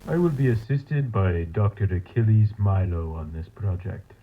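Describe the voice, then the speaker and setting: A tinny, narrow-band tape log carries the low voice of a male mad scientist, played by an actor. He dictates in a formal, measured, coldly clinical manner, as in an analog horror audio drama. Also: expressive, close to an everyday voice.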